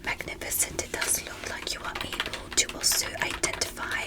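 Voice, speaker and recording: Woman as a whispering, gentle alien doctor. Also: moderate pace, close to an everyday voice. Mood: gentle, encouraging. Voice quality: breathy, unvoiced whisper. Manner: careful, encouraging, formal clinical phrasing. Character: gentle, curious alien doctor examining a human. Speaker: ASMR performer. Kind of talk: ASMR medical roleplay, whispered.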